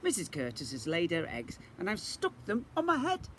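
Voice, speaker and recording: Man as a proud, excitable storybook fish dad. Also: sits high, normal speed, a little hiss or hum, pitched above the performer's own voice, exaggerated voice. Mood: cheerful, proud. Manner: upbeat, proud, emphatic. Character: cheerful, proud fish fathers minding their eggs.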